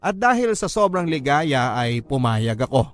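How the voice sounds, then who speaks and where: A Filipino man narrates a scripted, first-person radio drama story. His voice sits at an average pitch for a man. Soft music plays behind him. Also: big pitch swings, unhurried. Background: background music.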